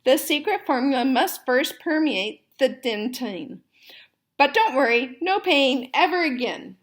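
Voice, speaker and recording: A woman's voice: sits high, expressive, unhurried. Woman as a firm little mouse dentist. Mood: professional assurance. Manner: firm, emphatic. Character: firm, wary, proud male mouse dentist.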